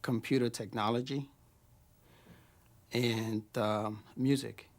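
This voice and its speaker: A man's voice: mid-range voice, fairly even intonation, slow pace. Man from Puerto Rico, easygoing. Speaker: interviewee. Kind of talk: casual interview answer.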